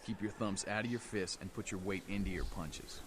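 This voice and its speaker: A man with an American accent playing a gruff, defiant veteran vampire rebel in a video game, blunt and folksy. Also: low voice, fairly even intonation, fairly quick, background noise, lightly acted. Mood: gruff, instructive. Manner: tough, folksy, blunt, contemptuous. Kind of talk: video game dialogue acting. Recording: music behind the voice.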